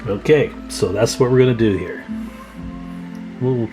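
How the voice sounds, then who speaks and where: A man's voice: mid-range voice, unhurried, over music. A man hosting a radio repair video, explaining hands-on work in a casual, folksy way and chatting informally to viewers.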